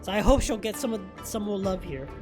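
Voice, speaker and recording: A woman gives casual commentary as she streams a game, in a mid-pitched voice. She is slangy and blunt, reacting offhand. Game music is clearly audible behind her. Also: animated, fairly quick. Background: video game music.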